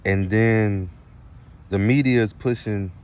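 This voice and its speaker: A man's voice: lowish voice, fairly even intonation, slow, a little mellow, clean tone. A man giving an informal, slangy spiritual monologue in an emphatic, repetitive manner, often posing rhetorical questions. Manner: casual and slangy with some swearing. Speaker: spiritual content creator and speaker.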